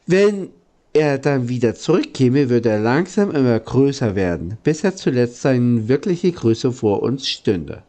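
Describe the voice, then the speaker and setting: A man voicing a gentle, lonely old giant from a storybook, courteous and earnest, with halting phrasing. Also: medium pitch, very animated. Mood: patient, explaining. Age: older.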